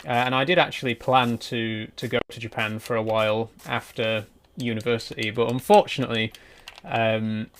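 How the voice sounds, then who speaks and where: Relaxed young man chatting while gaming. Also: mid-range voice, normal speed. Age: 20s. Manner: relaxed, rambling, answers chat messages. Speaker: streamer. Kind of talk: casual live-stream chat while playing.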